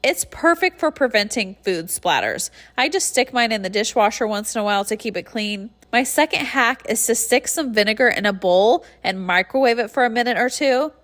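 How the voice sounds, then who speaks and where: A woman's voice: a little high, lively intonation, moderate pace, hardly any pauses, a bit bright. A congested young woman with a nasal, stuffy voice, chatting casually through a cleaning tutorial voice-over and breathing heavily. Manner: friendly and chatty, with light laughs and heavy breathing. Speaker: cleaning channel host.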